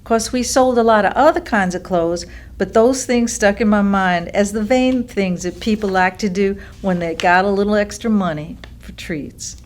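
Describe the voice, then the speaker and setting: A woman's voice: medium pitch, normal speed, lightly acted. A woman playing a small-town storekeeper fondly recalling old days in a staged reading. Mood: fond, reminiscing. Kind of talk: staged monologue of oral history recollections.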